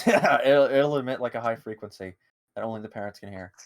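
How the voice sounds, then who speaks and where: A podcast co-host shares gaming news in a loose, rambling way, full of hesitations and playful asides. The voice sits at a middle pitch. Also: brisk, no echo, studio quality. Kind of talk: casual chat.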